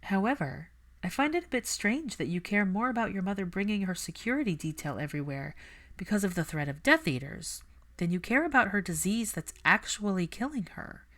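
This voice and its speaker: A woman's voice: medium pitch, lively intonation, brisk, a bit bright, pitched above the performer's own voice, lightly acted. Young woman healer acted by a woman reader, principled, stubborn, earnest, precise, firm audiobook dialogue. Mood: insistent, indignant.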